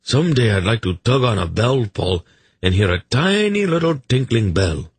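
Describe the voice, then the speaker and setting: A man's voice: mid-range voice, very animated, moderate pace, husky, no echo, pitched above the performer's own voice. A man voicing a sardonic, plaintive young king with a mocking, theatrical manner in a fantasy audiobook.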